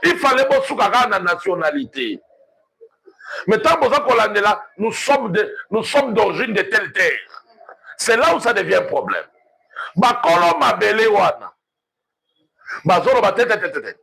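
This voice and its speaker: A man's voice: high-pitched, big pitch swings, normal speed, creaky, long pauses, sharp tone, husky, poor audio. A Congolese man arguing a political point emphatically, switching between languages, repeating words and posing rhetorical questions. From Democratic Republic of the Congo. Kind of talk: impassioned political argument, mixing languages.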